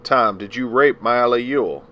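A man voicing a courteous defense lawyer who questions in a measured, gentle way. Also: mid-range voice, a little hiss or hum, lightly acted. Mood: probing.

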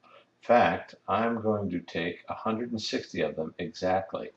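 A man's voice: sits low, unhurried, reverberant, dull audio. A man giving a methodical, step-by-step technical lesson as an instructor. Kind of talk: explanatory screen-recorded lesson.

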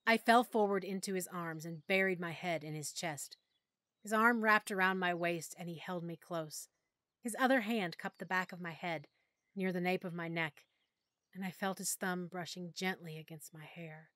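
A woman narrating an audiobook in the first person, quietly and with emotion. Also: fairly low pitch, normal speed, dry recording, clean studio sound.

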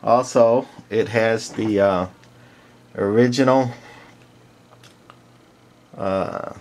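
Halting, low-voiced man, casual walk-through. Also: a little mellow. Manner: halting, matter-of-fact. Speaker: vintage radio collector presenting a walk-through. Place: indoors, small room. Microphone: handheld camcorder's built-in mic.

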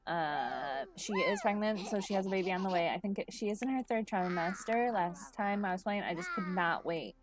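A woman's voice: medium pitch, animated, moderate pace, hardly any pauses, background noise. A woman in her thirties casually chatting through a life-simulation game, sniffly and congested, on a headset at home. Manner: chatty, thinks aloud, sniffly from allergies. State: stuffed-up nose. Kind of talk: casual gameplay commentary. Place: home room at a desk. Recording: game music under the voice. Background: game music.

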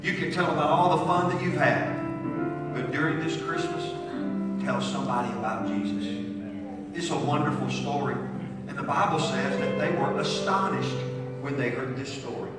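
A man's voice: fairly high pitch, normal speed. A middle-aged American man, a pastor speaking simply and directly to children in a church sanctuary. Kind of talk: children's sermon with Bible reading and prayer. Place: large church sanctuary stage, indoors. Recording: echoey hall from far off, music behind. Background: instrumental music.

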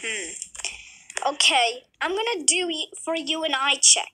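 A woman voicing a calm, soothing doctor in a homemade comedy skit, speaking slowly and hesitantly. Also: high-pitched, exaggerated voice. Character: low-voiced calm doctor, adult.

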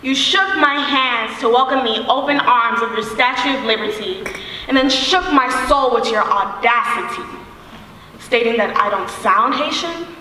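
A young woman performing spoken word poetry on a theater stage, declaiming emphatically with deliberate repetition. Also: a little high, pushed and bright. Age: young adult. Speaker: student spoken word poet. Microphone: microphone on a stand. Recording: very echoey hall, distant, faint noise.

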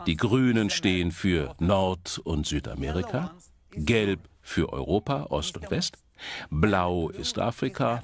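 Male lecturer, lowish pitch with lively swings, emphatic explainer, dramatic pauses, audience noise behind. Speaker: presenter. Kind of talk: animated documentary lecture to an audience.

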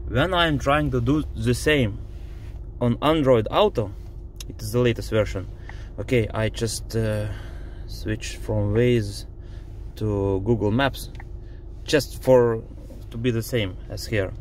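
A man with a Lithuanian accent, a native Lithuanian speaker, complains in a car about voice input while showing his phone and dashboard screen. He speaks in halting English with many fillers and sounds frustrated. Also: mid-range voice, steady tone, a little hiss or hum. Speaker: driver demonstrating a car app. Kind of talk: casual complaint video. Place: inside a parked car.

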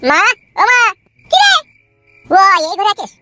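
A man's voice: very high-pitched, wildly swooping pitch, a little slow, bright, forceful tone, faint music behind, full character voice. A man voicing a hot-headed, foul-mouthed young village man in a comic cartoon, in slangy rural West Bengal dialect. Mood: coaxing. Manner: brash, slangy bickering.

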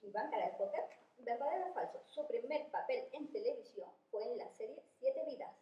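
A woman's voice: on the high side, animated, fairly quick, dull audio. A woman, casually hosting a quiz game as interviewer. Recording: echoey room, off-camera.

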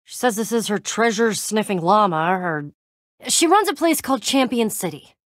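A woman's voice: medium pitch, very animated, moderate pace, no echo, studio quality, lightly acted. An actress playing a tough, guarded young woman adventurer in a video game, speaking casually with wry exasperation and hesitant trailing-off. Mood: earnest, explaining.

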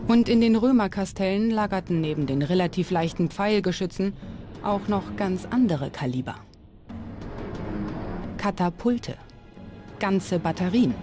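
A woman reading scripted documentary narration. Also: mid-range voice.

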